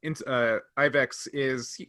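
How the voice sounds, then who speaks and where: A man's voice: mid-range voice, steady tone, slow pace, sharp tone, dry recording, studio quality. A man talking casually as a podcast host and game master, explaining things in an informal way.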